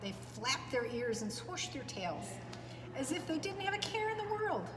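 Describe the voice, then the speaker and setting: A woman's voice: sits high, very animated, moderate pace. A woman reading a story aloud to young children, expressively and with chatty asides to her listeners. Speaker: preschool storyteller. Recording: echoey reverberant room, clear background noise.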